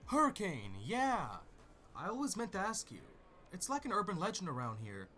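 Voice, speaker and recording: A man's voice: high-pitched, wildly swooping pitch, moderate pace, pushed and bright, slight background noise, full character voice. A man voicing a swooping, cocky young student. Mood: awkwardly curious.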